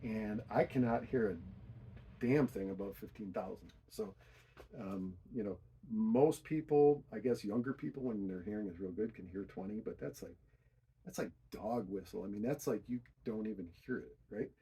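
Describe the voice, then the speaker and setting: A man's voice: lowish voice, normal speed, dark tone, slightly rough, low-quality recording. A middle-aged man giving a casual, unscripted DIY explanation, thinking aloud in a rambling, self-deprecating way. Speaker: DIY hi-fi hobbyist presenter.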